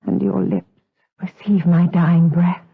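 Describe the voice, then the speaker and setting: Woman acting a breathy, slow-speaking gothic seductress. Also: lowish voice, level tone, no echo, full character voice. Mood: tearful, dying. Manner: melodramatic, slow, intense, formal archaic diction. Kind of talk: gothic radio drama acting. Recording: lo-fi, tinny 1980s audio drama recording.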